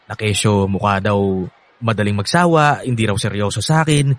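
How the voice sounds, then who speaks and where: A man's voice: on the low side, expressive, moderate pace, lightly acted. An actor playing an affectionate, eager young man with a Filipino accent in a radio drama, chatty and earnest. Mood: fond, playful. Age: young adult. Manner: earnest, chatty, laughs often.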